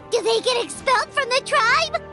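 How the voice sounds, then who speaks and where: A woman voicing a tiny, childlike fairy companion in a video game, earnest and excitable, with a squeaky cartoonish delivery. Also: exaggerated voice. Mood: startled concern. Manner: speaks of herself in third person.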